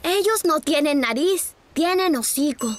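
A woman's voice: high voice, animated, unhurried, a little hiss or hum. A woman voicing a cheerful little boy rabbit in a cartoon, patiently explaining how to draw step by step. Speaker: narrator teaching drawing. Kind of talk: cartoon dub, children's drawing lesson. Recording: studio voice over loud music.

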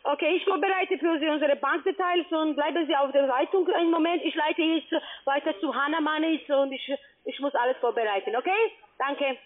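A non-native German speaker, a woman with a foreign accent, giving a halting phone sales pitch in simple phrases with check-back questions. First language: not German. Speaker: telephone sales agent for an investment scheme. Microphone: telephone. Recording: tinny, narrow phone line.

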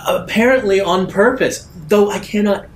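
A man's voice: moderate pace, lightly acted. A man voicing a dismissive, rule-bound official of a futuristic corporation in a tabletop game, clinical and insistent. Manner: clinical, matter-of-fact, insistent. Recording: roomy echo, low rumbling noise.

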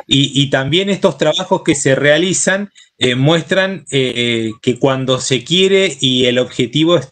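A middle-aged man with an Argentine accent gives informal thank-you remarks on a video call, in a halting way with repeated words. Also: a little high, fairly bright tone. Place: indoor room with bookshelves. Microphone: computer mic on a video call.

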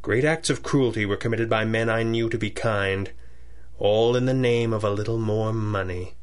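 An actor playing a brooding, wealthy masked crime-fighter in a 1930s-style radio adventure, deliberate and articulate. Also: male voice, on the low side, natural voice. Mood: brooding, confessional.

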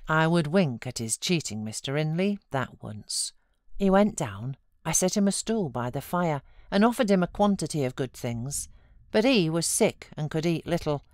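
Low-voiced British woman reading a classic novel. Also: darkish tone, no echo, clean studio sound. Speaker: audiobook narrator. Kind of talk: scripted narration of a classic novel.

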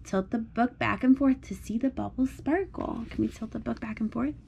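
A woman's voice: fairly high pitch, normal speed, vocal fry, darkish tone, a little hiss or hum. A woman reading a picture book aloud to a small child at home, in an encouraging, sing-song way with simple repeated phrases. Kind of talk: child-directed storybook read-aloud. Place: indoors at home, on a blanket.

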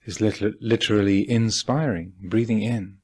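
A man with a husky voice guiding a meditation as a teacher, speaking calmly and deliberately with long pauses. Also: lowish voice. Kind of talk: guided meditation instruction. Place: meditation hall at a retreat.

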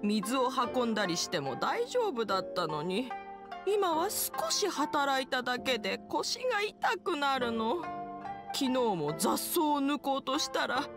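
A woman voicing a gentle, welcoming elderly wife in an anime-style game, unhurried and hospitable. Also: high-pitched, sing-song, over music, heavily acted. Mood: wistful.

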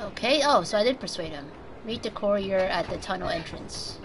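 A woman's voice: mid-range voice, lively intonation, brisk. A woman from Taiwan doing casual live gameplay commentary, chatting with viewers and swearing mildly. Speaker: game streamer. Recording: game noise behind voice. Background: video game sounds.

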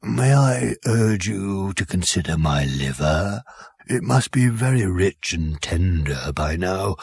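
Slow gravelly cow creature, super polite, husky and low, man putting it on. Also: animated, darkish tone, no echo, heavily acted. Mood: ingratiating. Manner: courteous, unhurried, ingratiating. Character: polite fat bovine creature offering itself as dinner.

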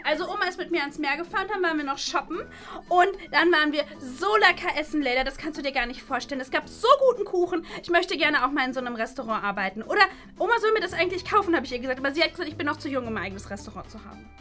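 Lady playing a snooty schoolgirl, bright and whiny, swoops all over. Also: high-pitched, lively intonation, soft background music. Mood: bragging. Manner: dismissive, whiny, fast. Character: spoiled snobby schoolgirl, bossy and self-important. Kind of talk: scripted web series acting.

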